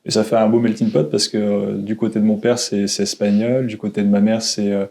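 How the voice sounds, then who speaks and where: A man's voice: on the low side, level tone, fairly quick, creaky, dark tone. A man in his thirties, born in Canada, telling his life story as a podcast guest, hesitant and full of fillers. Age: 30s. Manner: hesitant, many fillers and restarts. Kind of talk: casual conversation. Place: old château room.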